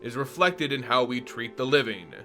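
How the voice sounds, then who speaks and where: A man voicing an ancient, wise, drowsy dragon who speaks slow, grave counsel, with soft guitar music behind him. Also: mid-range voice, lively intonation, pitched below the performer's own voice. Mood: solemn.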